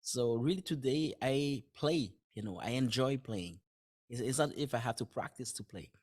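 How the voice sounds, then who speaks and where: A man's voice: mid-range voice, expressive, moderate pace, darkish tone. A man whose first language is French, a guest on a podcast, talking casually in simple words and often restarting his phrases. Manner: thinks aloud in simple words, with frequent restarts and light laughs. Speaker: podcast guest, dentist and author. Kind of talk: casual interview conversation.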